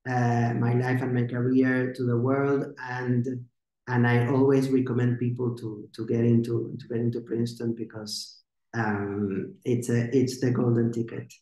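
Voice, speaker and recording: A man's voice: mid-range voice, level tone, a little slow, mellow. A man, an alumnus speaking in a webinar, hesitant with many restarts, on a laptop call. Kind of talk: webinar talk. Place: small room indoors. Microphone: laptop on video call. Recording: echoey room on a video call.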